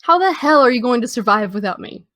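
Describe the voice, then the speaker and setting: A woman's voice: a little high, expressive, fast, fairly bright tone, no room sound, studio quality. A young American woman playing a guarded, dryly witty teenage girl in understated, naturalistic audio drama dialogue. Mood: wry.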